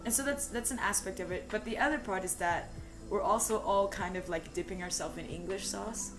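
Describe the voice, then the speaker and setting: A woman co-hosting a live stream, explaining her ideas in a relaxed, thoughtful way with frequent fillers and easy laughs. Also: mid-range voice, brisk. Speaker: co-host of a language stream. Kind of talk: casual discussion on a live stream. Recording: music playing behind the talk.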